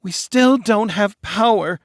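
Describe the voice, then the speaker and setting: A man voicing a whiny young bride-to-be who is shrill and tearful. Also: slow pace, no echo, studio quality. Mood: upset.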